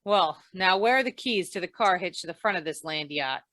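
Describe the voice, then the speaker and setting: A woman's voice: lowish voice, animated, brisk, a bit bright, no room sound. A woman playing a hard-boiled, wisecracking insurance investigator in an old-time radio noir, with a dry, clipped delivery. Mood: commanding, wary.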